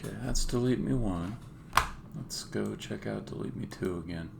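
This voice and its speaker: A man doing casual live-coding commentary, thinking aloud in a halting mutter as a game developer on a stream. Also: lowish voice, gravelly. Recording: faint noise, keyboard typing and clicks. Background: computer keyboard typing and clicking.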